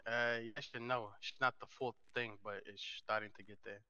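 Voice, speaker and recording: A man's voice: fairly low pitch, fairly even intonation, moderate pace, pushed and bright, clear, resonant tone, no room sound, muffled recording. A man playing an evasive mob underling who makes hesitant excuses in improvised gangster roleplay.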